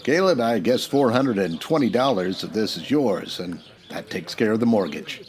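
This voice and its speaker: An actor playing a steady, patient Old West marshal in a radio western, straight-faced and measured. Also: male voice, lowish voice, natural voice. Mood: calm.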